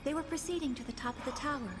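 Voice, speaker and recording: Woman acting a high, formal female android. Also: very fast, noisy background, lightly acted. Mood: matter-of-fact. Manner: formal, precise, detached. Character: polite young female android duplicate, coolly formal. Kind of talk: video game voice acting. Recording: game music behind the voice.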